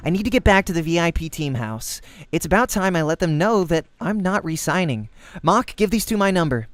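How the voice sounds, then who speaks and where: A man acts out an ambitious young woman gamer in a visual novel. The delivery is curt and bossy, with lively swings in pitch. Music plays behind the businesslike lines. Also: fairly quick, dry recording, close to an everyday voice. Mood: brisk, bossy. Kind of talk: amateur visual novel character acting.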